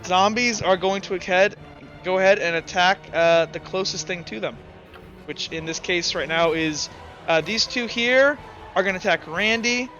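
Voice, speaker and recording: A man's voice: high voice, moderate pace, sharp tone, highs cut off, faint music behind. A man running a tabletop game as game master, rambling with frequent restarts.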